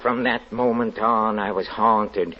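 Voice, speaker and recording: An actor playing an anguished, haunted fortune teller in an old radio drama, halting and brooding as he confesses. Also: on the low side, steady tone. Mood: haunted dread. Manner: halting, brooding, repeats words with dramatic hesitation. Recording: dull vintage radio recording, music underneath.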